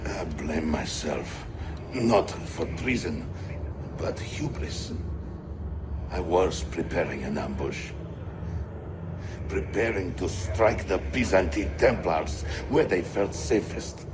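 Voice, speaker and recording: A proud Janissary captain in a game cutscene, his voice low and unhurried. The delivery is stern and dignified, with dramatic music behind it. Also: animated. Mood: bitter, resigned. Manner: slow, weighty, dignified. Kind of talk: video game cutscene acting.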